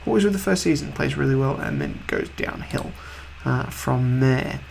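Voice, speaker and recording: Husky, gravelly man with a cold. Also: mid-range voice, moderate pace, a little hiss or hum. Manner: rambling, offhand, chatty. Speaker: video game let's-play host. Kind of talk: casual game commentary.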